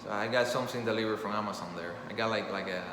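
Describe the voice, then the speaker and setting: A man's voice: fairly low pitch, steady tone, very fast. Earnest man with Spanish as first language. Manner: rambling, earnest, many restarts. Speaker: storyteller channel host. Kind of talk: impromptu talk to camera. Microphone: phone. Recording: echoey room with clear background noise.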